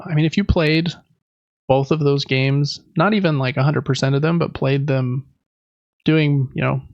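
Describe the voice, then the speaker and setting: A man's voice: on the high side, fairly even intonation, normal speed, darkish tone, dry recording, highs cut off. A man hosting a podcast, chatting informally and conversationally about games, close to the microphone. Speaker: podcast host. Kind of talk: casual gaming podcast chat.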